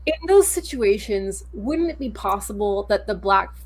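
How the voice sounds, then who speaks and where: A woman in a casual discussion who reasons aloud in a measured, inquisitive way. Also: medium pitch, expressive.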